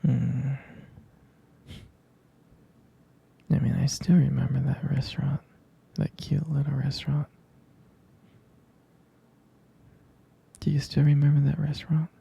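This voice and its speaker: A man playing a tender, protective, jealous boyfriend in an intimate ASMR roleplay, murmuring softly and haltingly in a husky whisper. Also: mid-range voice, little pitch movement, no echo, exaggerated voice. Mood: tender, nostalgic. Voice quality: husky, breathy, gravelly whisper. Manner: soft, halting, murmured close-up delivery.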